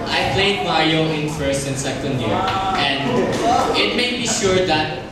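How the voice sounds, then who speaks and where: Distant, echoey, slow-talking young man. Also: fairly high pitch, lively intonation. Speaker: student actor answering audience questions. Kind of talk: halting question-and-answer reply. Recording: very echoey noisy hall, distant mic.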